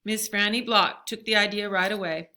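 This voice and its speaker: Bright-voiced woman reading a story aloud. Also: mid-range voice, steady tone, normal speed. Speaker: read-aloud reader. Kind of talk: expressive storybook read-aloud.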